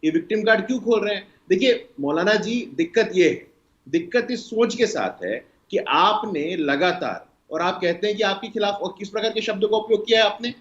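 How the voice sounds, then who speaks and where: A man's voice: high-pitched, expressive, normal speed, fairly bright tone. An Indian man speaking as a party spokesperson in a televised political debate, emphatic, lecturing and argumentative. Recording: roomy, dull lo-fi sound.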